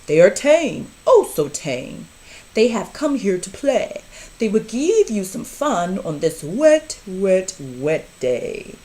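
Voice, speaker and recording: A woman's voice: medium pitch, very animated, takes it slowly, dark tone. A woman voicing a playful, cunning male cat in a storybook, showy and coaxing. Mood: reassuring.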